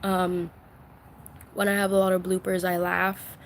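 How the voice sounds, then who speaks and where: Slow, soft-spoken woman venting on a live stream. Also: lowish voice, monotone, a little hiss or hum. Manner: slow, halting, many fillers and restarts; soft, confiding, close to tears. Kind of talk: emotional live-stream vent. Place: her bedroom at home.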